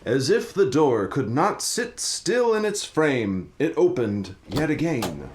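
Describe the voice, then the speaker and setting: A man's voice: mid-range voice, very animated, takes it slowly. A man narrating a comic radio play as a dramatic storyteller, reading from a script.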